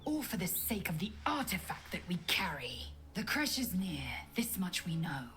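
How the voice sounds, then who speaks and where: A woman's voice: on the low side, big pitch swings, normal speed, a bit bright, soft background music. An actress voicing a proud, fierce githyanki warrior woman in a fantasy game, speaking in a formal, clipped, imperious way. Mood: grim resolve. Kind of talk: fantasy video game acting.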